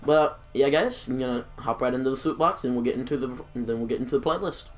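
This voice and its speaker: A man's voice: medium pitch, fast. Young man, stuffed-up nose, casual gaming chatter, rambling, self-deprecating, faint music underneath. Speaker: gaming YouTuber. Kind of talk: casual gameplay commentary.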